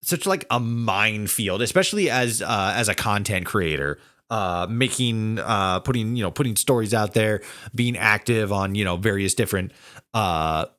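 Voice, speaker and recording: A man hosting a casual interview, talking in a rambling, halting way and circling back over his phrases. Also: on the low side, lively intonation, a bit bright. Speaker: podcast host interviewing a guest. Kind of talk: casual long-winded interview chat.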